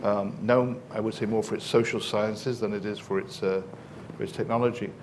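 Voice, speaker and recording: A man's voice: fairly low pitch, fairly even intonation, moderate pace, darkish tone, slight background noise. A man with an English accent, speaking as a professor on a conference panel, explaining at length with many fillers and false starts.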